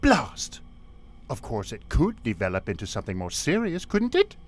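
A man's voice: medium pitch, wildly swooping pitch, moderate pace. A man playing an irritable, ambitious starship first officer in a comic radio play. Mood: excited, irritated. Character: irritable, scheming first officer, male, hungry for command. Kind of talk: parody radio play acting.

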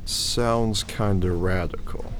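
A man's voice: low-pitched, takes it slowly, faint music behind. An actor playing an earnest, sheltered young college freshman in an audio drama, hesitant and incredulous. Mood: earnest, unsure.

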